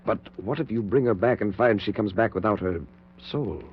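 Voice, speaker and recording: An actor playing a morally troubled surgeon and reluctant loyal friend, hesitant and solemn in 1940s radio drama style. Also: male voice, fairly low pitch, moderate pace, slight background noise, close to an everyday voice. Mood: uneasy, dismayed. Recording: muffled 1940s radio recording.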